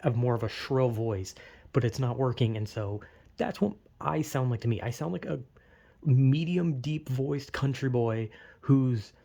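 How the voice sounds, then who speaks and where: A man's voice: mid-range voice, a little slow, vocal fry, warm tone, highs cut off. A young man vlogging in a rambling, self-deprecating way, full of "like" fillers, with a slight lisp. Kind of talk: casual rambling monologue.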